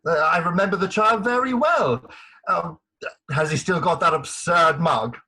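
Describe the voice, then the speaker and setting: A man acting a flustered, gallant middle-aged colonel in a comic Victorian farce, stammering and hesitant, with a husky voice. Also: a little high, a little slow, sharp tone, full character voice. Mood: flustered politeness. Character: flustered, gallant English army colonel, bumbling and reluctant. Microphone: remote home recording over video call.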